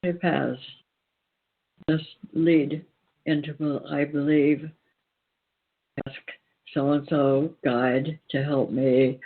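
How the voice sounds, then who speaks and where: A man giving an earnest spiritual talk in a deliberate way, pausing often and repeating phrases for emphasis. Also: fairly high pitch. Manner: deliberate, emphatic repetition with pauses. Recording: tinny, phone-like band-limited sound.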